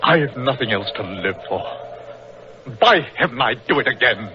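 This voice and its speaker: A man's voice: medium pitch, lively intonation, moderate pace, close to an everyday voice. A man playing a proud, grief-stricken explorer doctor, grave and impassioned, in 1940s radio drama style. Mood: anguished, bitter. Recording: muffled, noisy old-time radio recording.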